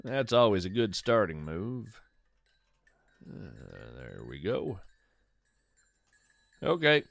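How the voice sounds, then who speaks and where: A man's voice: fairly low pitch, creaky, a bit bright, no room sound. A man narrating cozy folklore fiction in the first person as a podcast host, musing in descriptive, literary phrases with conversational asides.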